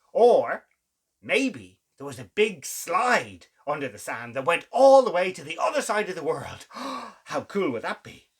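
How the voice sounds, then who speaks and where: Male kids' story host, lively swooping delivery, slightly raspy tone, theatrical pauses. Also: fairly high pitch. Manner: exclamatory, theatrical, with dramatic pauses and gasps. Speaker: children's story reader, show host. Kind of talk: animated children's storytelling.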